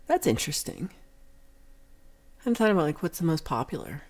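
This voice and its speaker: A woman's voice: low-pitched, very animated, fairly quick, darkish tone. A young woman with a Texan accent who hosts a casual livestream. She reads viewer comments aloud and talks in a rambling, chatty way. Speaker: livestream host reading chat. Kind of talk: casual chat.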